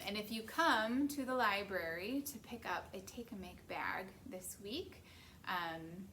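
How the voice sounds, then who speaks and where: A woman's voice: medium pitch, sharp tone, slight background noise. A woman hosting a library story time, reading a picture book aloud and chatting casually to viewers.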